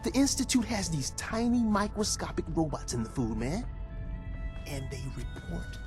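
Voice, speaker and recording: An actor playing an excitable, paranoid male gadget tinkerer who rambles conspiratorially in a video game. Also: a little high, moderate pace, over music. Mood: earnest, suspicious. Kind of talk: video game dialogue acting.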